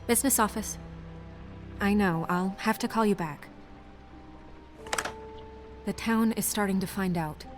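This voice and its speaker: A woman's voice: medium pitch, a little slow, long pauses, over music, lightly acted. A woman voicing an earnest, businesslike office administrator in a video game, with naturalistic, hesitant phrasing. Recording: faint score under the voice.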